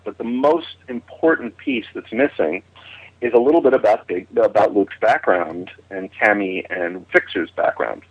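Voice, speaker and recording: A man giving an informal, rambling explanation as a commentator, often rephrasing himself, on a lo-fi recording. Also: on the low side. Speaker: commentator on radio drama history. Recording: dull, lo-fi voice recording.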